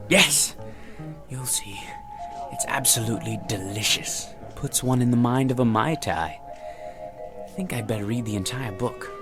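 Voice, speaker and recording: A man's voice: mid-range voice, a little slow, close to an everyday voice. An actor playing a fussy, self-important male office worker with a pompous, bookish, enunciated way of talking in a television drama. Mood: intrigued, scheming. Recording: background music under dramatic dialogue.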